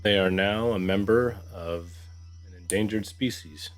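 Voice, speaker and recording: A man's voice: lowish voice, measured pace, soft background music. A man talking casually as a player in a tabletop roleplaying game. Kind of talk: casual game-table talk, partly in character.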